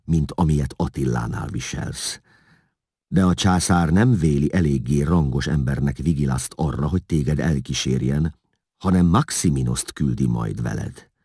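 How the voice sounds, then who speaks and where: A man performs a scheming courtier of an imperial court in a historical storybook. The delivery is formal and persuasive, moving freely in pitch, with a bright edge and a close, dry sound. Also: husky, dry recording, heavily acted. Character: self-assured male imperial courtier, scheming, persuasive, flattering.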